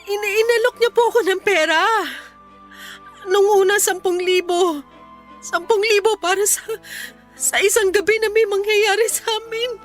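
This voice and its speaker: A woman's voice: extremely high pitch, moderate pace, soft background music. An actress with a Filipino accent playing a desperate, ashamed young mother in a radio drama, pleading plaintively. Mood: tearful, pleading.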